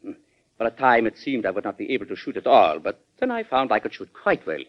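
An actor playing a polite, cautious German ambassador in an old radio drama, speaking formally and deferentially with a German accent. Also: male voice, lowish voice, huge pitch swings, quick pace. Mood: polite, guarded. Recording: dull, band-limited vintage radio recording.

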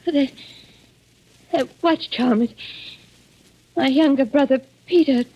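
An actress playing a grief-stricken, anxious widow in a 1940s radio drama. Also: female voice, high voice, slow pace, warm tone. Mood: dismayed. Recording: muffled old radio recording.

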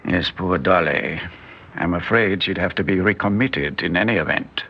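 An actor in an old-time radio drama playing a shrewd, wary, cynical doctor with a theatrical, insinuating delivery. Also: male voice, low voice, normal speed, breathy edge. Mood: cynical, hushed. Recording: dull, muffled old radio recording.

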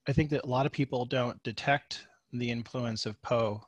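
A man hosts a podcast interview in an easy, friendly way, asking questions in a casual tone. His voice sits at a medium pitch, and the sound is close and dry. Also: fairly even intonation, moderate pace, dry recording. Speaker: podcast host.

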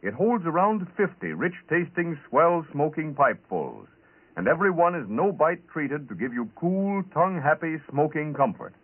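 An American male announcer gives a 1940s radio sales pitch. His intonation swoops freely and sits a little high, through thin, narrow old broadcast sound. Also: sing-song, normal speed, husky. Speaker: commercial announcer.

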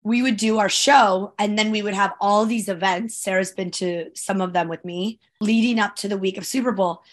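A woman co-hosting a casual podcast with a raspy voice that has not recovered after a week. She talks in loose, meandering asides at an everyday middle pitch. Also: steady tone, moderate pace. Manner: chatty, trailing asides. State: raspy, strained voice. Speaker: podcast co-host, sports broadcaster. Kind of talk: casual chat.

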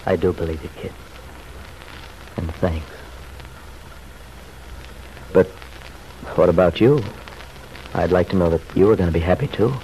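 Low, mellow, bashful ex-husband. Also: male voice, measured pace, pauses often. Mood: sincere. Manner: hesitant, plain-spoken, bashful. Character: awkward, mild-mannered young ex-husband, forgiving. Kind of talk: old-time radio soap opera acting. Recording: faintly noisy vintage radio recording.

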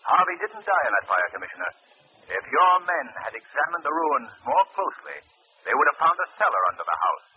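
Brisk, commanding mystery crime-fighter. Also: male voice, a little high, very animated. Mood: commanding, knowing. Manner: commanding, explanatory. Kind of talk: 1940s radio acting. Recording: dull, noisy old radio recording.

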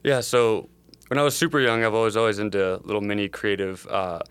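A man's voice: lowish voice, level tone, fairly quick, a bit bright, clean tone, dry recording. A young man from the Chicago area, a startup co-founder, answering interview questions in a thoughtful, meandering way. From Chicago area, USA. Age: young adult.

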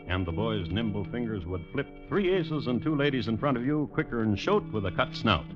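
A man hosting and narrating old-time radio true crime in a deadpan, wry, deliberate way. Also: medium pitch. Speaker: host and narrator. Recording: muffled old radio recording. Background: music.

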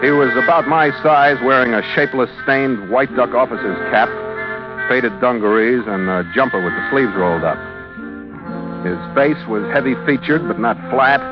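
An actor playing a tough, wisecracking sea captain in an old radio drama, with an American accent and terse, hard-boiled delivery. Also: male voice, mid-range voice, normal speed, close to an everyday voice. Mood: hard-boiled, brooding. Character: tough, sardonic male sea captain, narrating his adventure. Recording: dull vintage radio recording, music behind.

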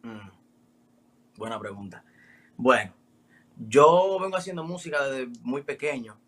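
Dominican-accented man in his twenties, rapper guest, bright forceful tone, laid-back slangy talk. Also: medium pitch, measured pace. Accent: Dominican Spanish. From Dominican Republic. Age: 20s. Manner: relaxed, street slang with frequent "me entiendes" tags. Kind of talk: casual interview answers.

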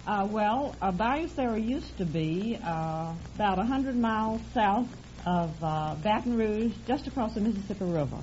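A woman from Louisiana answering a quiz host's questions in a hesitant, halting way. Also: medium pitch, animated, a little mellow. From Louisiana, United States. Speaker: quiz contestant, housewife. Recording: muffled old radio recording, slight noise.

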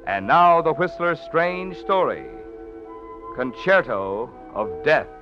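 Animated, high-pitched American radio announcer man. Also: slow pace, music in the background. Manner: polished, salesmanlike. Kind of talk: 1940s radio commercial announcing. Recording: dull vintage radio recording.

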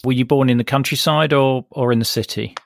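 A man's voice: medium pitch, steady tone, fairly quick, creaky, no room sound, clean studio sound. A man hosting a podcast, reading scripted introductions and putting questions to a guest as interviewer.

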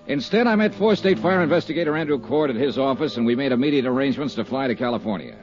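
Guy playing a dry 1950s radio private eye, lively up-and-down delivery, muffled old broadcast sound. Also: mid-range voice, quick pace, soft background music, close to an everyday voice. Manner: dry, matter-of-fact narration. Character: brisk, wisecracking male insurance investigator. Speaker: insurance investigator and narrator. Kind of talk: 1950s radio drama acting.

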